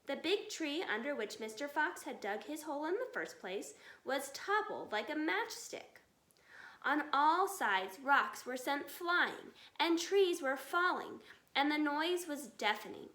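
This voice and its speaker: Lady reading a kids book out loud, slow n expressive, bright voice, echoey room. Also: sits high. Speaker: storybook reader. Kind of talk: expressive children's read-aloud.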